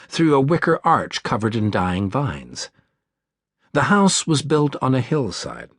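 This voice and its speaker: A man's voice: lowish voice, slightly rough, no room sound. A man reading a thriller audiobook as scripted narration, close on a studio microphone. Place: dry studio.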